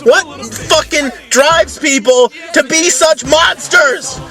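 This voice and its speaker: Male vlogger, extremely high bright voice, exasperated and sweary, ranting in a car. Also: takes it slowly, soft background music. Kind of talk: ranting vlog to camera. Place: inside a parked car. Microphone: camera pointed at himself.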